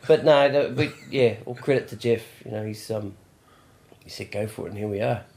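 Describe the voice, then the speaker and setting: A man's voice: on the low side, fairly quick, darkish tone. A man hosting a podcast, chatting casually and often affirming with yeah and um. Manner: affirming, lots of yeahs and ums. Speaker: podcast host. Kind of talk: casual interview chat.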